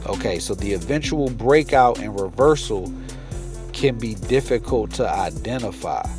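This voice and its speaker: A man's voice: fairly low pitch, expressive, unhurried. Man teaching emphatically over music. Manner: emphatic, repeats key phrases, addresses viewers directly. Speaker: trading educator, channel host. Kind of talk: explanatory lesson, alternating reading aloud with informal commentary.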